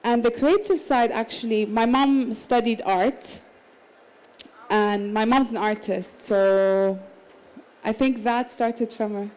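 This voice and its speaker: A woman's voice: fairly high pitch, steady tone, slow pace, darkish tone, poor audio. A woman in her thirties, raised in the Emirates, talking casually as a panel guest at a literary salon. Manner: conversational, with restarts and small laughs. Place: literary salon at a book fair.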